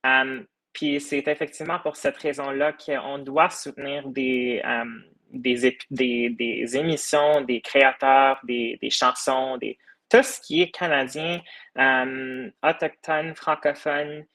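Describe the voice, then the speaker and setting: A man's voice: mid-range voice, fairly even intonation, slow, bright, forceful tone. A young man from the United States, a podcast creator talking earnestly in a casual interview, with many hesitations.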